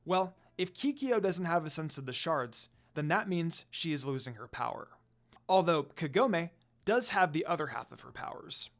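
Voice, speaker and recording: A narrator reading a fan fiction story aloud in an expressive amateur storytelling style. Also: medium pitch, moderate pace.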